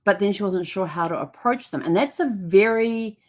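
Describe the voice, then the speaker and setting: A woman's voice: mid-range voice, moderate pace, a little mellow. A woman hosting a genealogy show, explaining things casually with frequent ums, restarts and self-corrections.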